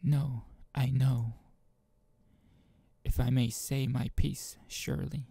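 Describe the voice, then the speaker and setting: A man reads the lines of a meek young girl in a visual novel, obedient and quick to blame herself. The voice is husky and creaky. The manner is apologetic and pleading, in an amateur read-aloud. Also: mid-range voice, steady tone, mellow, no room sound, exaggerated voice.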